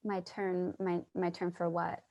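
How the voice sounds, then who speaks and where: A woman's voice: on the low side, level tone, unhurried, warm tone. An actress playing a troubled, earnest loner woman, hesitant and dramatic, in a staged play reading. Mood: shocked, distressed.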